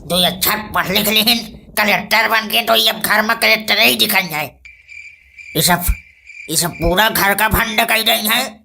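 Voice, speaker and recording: A woman's voice: mid-range voice, gravelly, background noise. A woman playing a stubborn, scornful old male village patriarch in a comic cartoon, with an Awadhi accent and indignant, sarcastic scolding. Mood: scornful grumbling. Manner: indignant, sarcastic scolding with drawn-out rhetorical questions.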